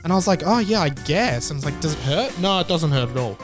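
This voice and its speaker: A young Australian man hosting a solo podcast, telling family stories with lively acted-out dialogue. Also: fairly high pitch, fast talker, music in the background. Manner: animated storytelling, acts out family dialogue. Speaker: podcast host.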